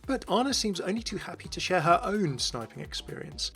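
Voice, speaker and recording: A man's voice: high voice, big pitch swings, fairly quick, a bit bright, slightly rough. A man hosting a video, reading scripted narration. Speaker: video host.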